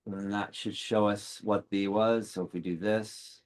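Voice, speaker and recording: A man's voice: lowish voice, little pitch movement, moderate pace. A man chatting casually while he works on code at a computer, thinking aloud and asking questions. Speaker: meeting host typing code as he learns.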